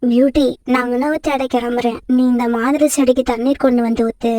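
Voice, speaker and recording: A woman's voice: on the high side, steady tone, brisk, warm tone, no room sound, clean studio sound, natural voice. A woman voicing a principled, firm mother sparrow in a children's cartoon story. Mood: patient, instructive.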